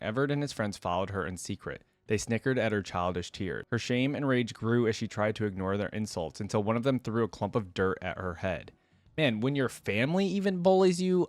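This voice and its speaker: A man's voice: fairly low pitch, no echo. A man hosting reaction videos, talking informally and conversationally as he comments and reads aloud. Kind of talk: casual reaction commentary mixed with reading aloud.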